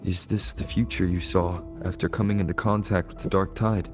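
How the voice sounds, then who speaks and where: A calm young male adventurer from a video game voiced by an actor, understated and inquisitive. His voice is very deep, and he speaks tactfully over clearly audible music. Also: brisk, lightly acted. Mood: calm, curious. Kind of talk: scripted video game dialogue.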